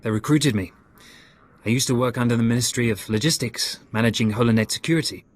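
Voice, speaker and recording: A man's voice: medium pitch, quick pace, lightly acted. A man playing an awkward, modest surveillance analyst in a sci-fi game, hesitant and apologetic with small stammers. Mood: nervous, modest. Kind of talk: scripted video game dialogue.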